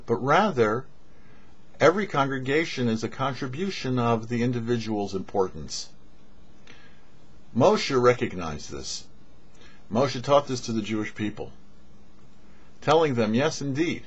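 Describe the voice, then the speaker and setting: Husky rabbi teaching, dull recording. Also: male voice, medium pitch. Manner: measured, explanatory, with deliberate pauses. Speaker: rabbi and show host. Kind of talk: short religious teaching to camera. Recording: dull, lo-fi webcam-style audio.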